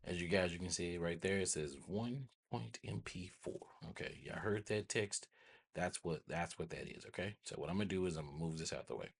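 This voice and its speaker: An American man with a husky voice hosting a casual software tutorial, rambling informally and often checking in with listeners. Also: low voice, brisk. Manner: informal, rambling, repeats check-in tags like "right?" and "okay?". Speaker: host of a tech tutorial channel.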